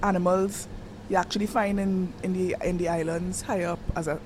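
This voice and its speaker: A woman co-hosting a casual podcast, explaining with many pauses and fillers. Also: fairly low pitch, level tone, a little mellow, a little hiss or hum, low-quality recording. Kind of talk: casual chat.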